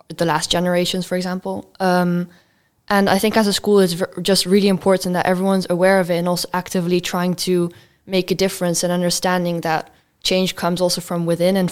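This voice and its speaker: A woman's voice: lowish voice, darkish tone. A fifteen-year-old girl from Belgium, answering questions as a student guest in an interview.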